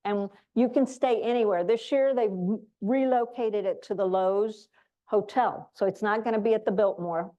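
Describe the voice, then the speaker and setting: A woman's voice: mid-range voice, normal speed, lo-fi sound. A woman speaking informally to an audience as an association representative, running through announcements. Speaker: association speaker on global programs.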